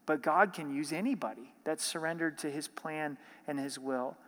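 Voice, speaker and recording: A man's voice: on the high side, moderate pace, fairly bright tone. Man preaching in a reverberant hall. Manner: expository preaching with rhetorical questions. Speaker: pastor. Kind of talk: sermon.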